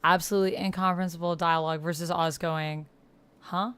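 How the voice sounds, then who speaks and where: Lower-voiced woman chatting casually over a game. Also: fairly even intonation, fairly quick, a bit bright, clear, resonant tone. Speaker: host playing a text-based roleplaying game. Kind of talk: casual chat while playing along with typed roleplay.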